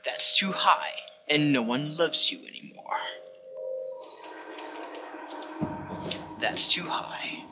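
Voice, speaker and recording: A man voicing a sinister, contemptuous disembodied game narrator, delivered in a condescending, theatrical and deliberate way. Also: a little high, lively intonation, pushed and bright, exaggerated voice. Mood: mocking contempt. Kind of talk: dramatic reading of a text-based game.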